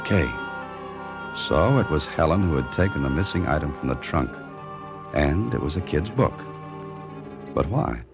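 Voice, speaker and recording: Male sleuth, 1940s radio mystery. Low register, expressive swings, wry understatement and cool nerve. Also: normal speed, long pauses. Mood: tense narrating. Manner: dry, understated, teasing. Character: cool, wry novelist turned amateur sleuth, a man. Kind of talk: 1940s radio drama acting and first-person narration. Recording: vintage radio recording with music.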